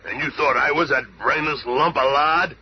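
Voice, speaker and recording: An actor playing a gruff, short-tempered rocky monster hero with gravelly, slangy, blustering talk in a 1970s radio drama. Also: male voice, fairly high pitch, normal speed, natural voice. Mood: indignant. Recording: noisy lo-fi 1970s radio recording.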